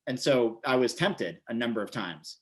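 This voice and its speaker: A man's voice: medium pitch, lively intonation, normal speed, low-quality recording. An American man in his forties telling stories casually as an interview guest, rambling and repeating his words as he goes. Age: 40s. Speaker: interview guest, vegan bodybuilder and author.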